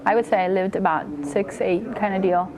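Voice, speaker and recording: A woman's voice: medium pitch, steady tone, moderate pace, crowd noise, rough recording. A woman from Mexico recounting her family's history in an oral history interview, often correcting herself as she goes.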